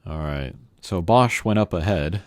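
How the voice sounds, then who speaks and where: A man's voice: sits low, expressive, a little slow. A man hosting a casual video game playthrough, laid-back and hesitant as he comments. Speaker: gaming channel host. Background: game music.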